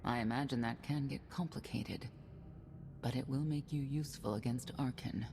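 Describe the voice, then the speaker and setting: Casting: candid, self-assured game knight, creaky low voice, measured, over noisy ambience. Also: female voice, steady tone. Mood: resolute, guarded. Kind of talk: video game cutscene acting.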